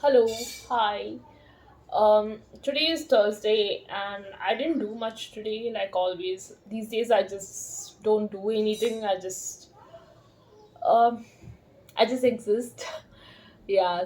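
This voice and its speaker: A woman in her thirties talks slowly to camera at home. Her voice sits a little high, and she rambles in a relaxed, meandering way, with lots of fillers and soft laughs. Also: low-quality recording. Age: 30s. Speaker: vlogger. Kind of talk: casual chat to camera.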